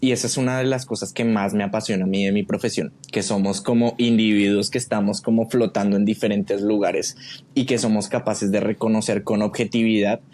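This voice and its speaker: A man's voice: on the low side, fairly even intonation, brisk, darkish tone, slight background noise. A man with a Colombian accent talking casually and reflectively as a guest in an interview. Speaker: podcast guest, creative director.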